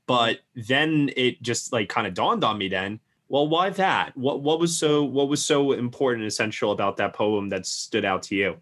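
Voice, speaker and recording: A man's voice: mid-range voice, moderate pace, hardly any pauses, fairly bright tone. A man hosting a film podcast interview, asking long, friendly questions in an engaged manner.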